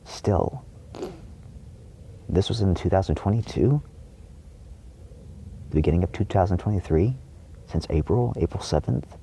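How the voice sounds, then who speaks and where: Very deep, gravelly, husky man's voice. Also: lively intonation, measured pace, pauses often, mellow, a little hiss or hum, rough recording. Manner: indignant, emphatic, repetitive; frequent swearing. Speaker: video creator addressing viewers. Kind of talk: impassioned monologue to viewers.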